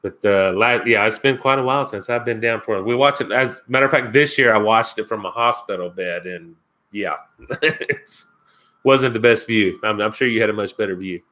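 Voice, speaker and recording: A man's voice: lowish voice, moderate pace, sharp tone. A man from Kentucky with a Kentucky accent, hosting his first live stream and chatting casually with viewers, rambling and chuckling as he answers comments. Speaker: outdoors channel host.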